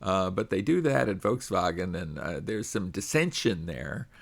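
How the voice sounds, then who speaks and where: A man's voice: deep voice, sing-song, normal speed, vocal fry. A middle-aged man hosting a radio talk show, chatting in a conversational way with frequent fillers.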